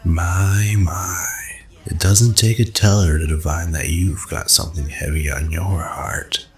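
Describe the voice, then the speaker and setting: Actor as roguish male fortune teller, lowish pitch, sly, teasing, smooth-talking, radio drama. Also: fairly even intonation, a little slow. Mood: smooth, disarming. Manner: sly, teasing, unruffled salesman patter.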